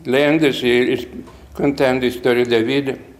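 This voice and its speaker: Older Lithuanian-accented man, halting. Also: medium pitch, flat delivery. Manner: halting, rambling with many restarts. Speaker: missionary priest. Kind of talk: interview recollection.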